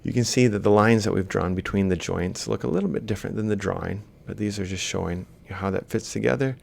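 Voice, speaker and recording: A man giving an informal, step-by-step lecture, explaining haltingly as he works through examples. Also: fairly low pitch, vocal fry, darkish tone, a little hiss or hum. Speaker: university robotics lecturer. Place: screen-recorded lecture voice-over.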